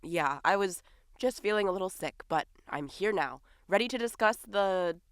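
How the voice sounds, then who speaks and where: Impostor monarch, out of their depth female actor, audio drama. Smooth voice, swooping intonation, halting bluff. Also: medium pitch, expressive, no room sound, clean studio sound, natural voice. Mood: awkward bluffing. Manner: hesitant, bluffing. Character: bewildered hunter posing as a fairy king.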